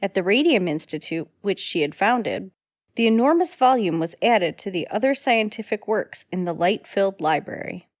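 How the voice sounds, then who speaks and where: A woman acts as an earnest speaker in a period radio play. Her medium-pitched voice is carefully phrased and recorded very dry. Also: lively intonation, normal speed, dry recording, lightly acted. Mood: reverent. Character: woman storyteller and onlooker in a period drama. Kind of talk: scripted old-time radio drama.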